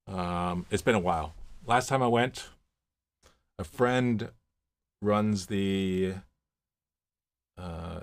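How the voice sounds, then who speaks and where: Low-voiced man chatting casually on a livestream. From Miami, Florida. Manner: rambling, anecdotal, many fillers and restarts. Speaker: livestream host. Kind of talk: casual livestream chat, reading viewer comments. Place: home office.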